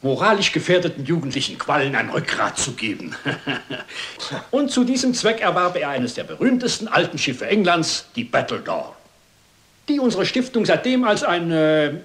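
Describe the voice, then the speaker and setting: A fairly high, very animated bishop played by a man in a crime radio drama. He is pompous and courteous, hesitating formally as he chairs. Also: normal speed. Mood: ceremonious. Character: courteous, dithering, ceremonious male bishop chairing a meeting. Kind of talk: German crime radio play acting.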